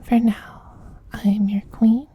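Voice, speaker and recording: An effeminate vampire queen, acted by a man, murmurs in a very breathy, velvety dark whisper for an ASMR roleplay. The delivery is slow, tender and quietly dominant, doting on a beloved pet with soothing, evenly pitched softness. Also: flat delivery. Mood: adoring. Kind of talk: soft-spoken ASMR roleplay.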